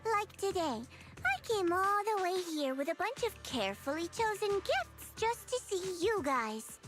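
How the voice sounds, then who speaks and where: A woman's voice: very high voice, big pitch swings, unhurried, music in the background, full character voice. A woman voicing a teasing, self-assured young female agent in a video game, with a playful, smug, coaxing delivery.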